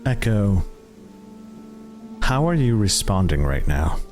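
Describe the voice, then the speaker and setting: A man narrating a tabletop game as game master, slowly and suspensefully, with long, deliberate dramatic pauses. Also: lowish voice, big pitch swings, a little mellow, soft background music.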